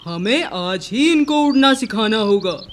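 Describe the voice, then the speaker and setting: A man plays a father bird in a moral-story cartoon. The voice is high and unhurried, firm yet caring. He speaks like a parent teaching a lesson. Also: expressive, a little hiss or hum. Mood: instructive. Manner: slow, instructive, deliberate.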